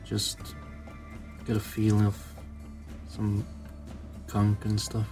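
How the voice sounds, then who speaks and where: A man's voice: fairly low pitch, completely flat delivery, slow pace, over music. A man giving a casual hobby tutorial, thinking aloud informally as he works. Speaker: toy customizer presenting own channel.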